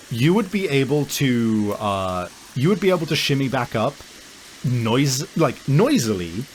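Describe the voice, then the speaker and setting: A man improvising scene descriptions as a game master, halting as he searches for words. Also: medium pitch, a little hiss or hum, low-quality recording. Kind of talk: improvised tabletop game narration.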